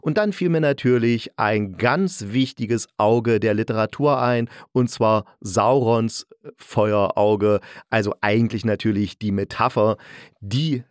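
A man's voice: lowish voice, unhurried, no room sound, clean studio sound. A man hosting a podcast as an audio drama critic, conversational and a little rambling, correcting himself as he goes. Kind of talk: solo podcast presentation, conversational.

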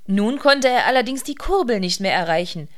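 Mid-pitched female radio play narrator. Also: expressive, a little fast.

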